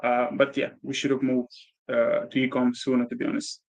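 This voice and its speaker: A man from Bulgaria answering interview questions candidly, with frequent pauses and fillers. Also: medium pitch, completely flat delivery. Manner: candid, hesitant with many "uh"s. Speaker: guest, e-commerce entrepreneur.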